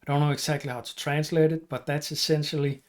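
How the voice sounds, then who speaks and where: A middle-aged man with a Danish accent, hosting his channel and explaining at an unhurried pace with some fillers and restarts. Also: fairly high pitch, level tone. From Denmark. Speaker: channel host and teacher. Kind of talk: casual explainer talk to camera.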